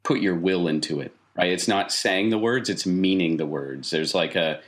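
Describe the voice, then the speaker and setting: A man with a low-pitched voice runs a tabletop role-playing game. He speaks to the player as "you", improvising the narration, asking probing questions and explaining what the character feels. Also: moderate pace. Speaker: game master.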